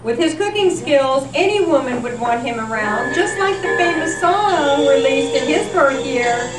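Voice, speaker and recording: High-voiced woman narrating in an echoey room. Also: animated, measured pace, music in the background. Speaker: presenter at a volunteer appreciation event. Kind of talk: scripted narration of nostalgic local history. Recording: echoey room, distant mic, background noise.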